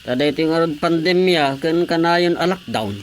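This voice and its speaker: A man's voice: fairly high pitch, moderate pace, a little hiss or hum, lightly acted. A man playing a jovial, boastful village husband in a radio comedy drama. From Philippines.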